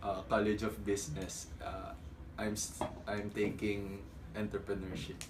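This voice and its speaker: A man's voice: lowish voice, completely flat delivery, unhurried. A young man, a university student answering hesitantly and mixing in Tagalog. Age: young adult. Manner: hesitant, many "uh"s, switches into Tagalog. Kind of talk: casual interview answers. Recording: distant mic, background noise.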